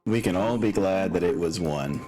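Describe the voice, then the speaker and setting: A man with a low voice runs a tabletop game as game master. He talks casually and drops apologetic, self-deprecating asides, with music playing behind him. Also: moderate pace, dark tone, poor audio. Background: background music.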